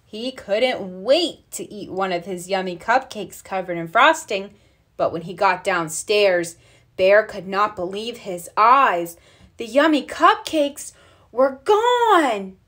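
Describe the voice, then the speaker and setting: A woman narrating a children's storybook aloud, expressively, with deliberate storytelling emphasis. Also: mid-range voice, lively intonation, a bit bright. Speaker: storybook reader.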